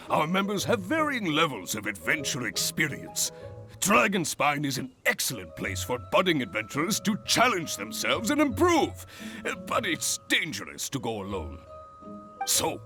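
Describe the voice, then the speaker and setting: Big cheery guild boss guy, wildly lively, fairly high, music behind. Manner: booming, jovial, boisterous. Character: hearty middle-aged male adventurers guild leader. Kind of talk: video game cutscene dialogue. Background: game music.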